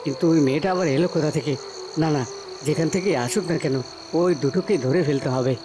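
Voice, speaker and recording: A man's voice: fairly high pitch, fairly quick, background noise, lightly acted. A man voicing a menacing forest henchman in a fairy tale cartoon, muttering to himself as he hunts. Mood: watchful, menacing.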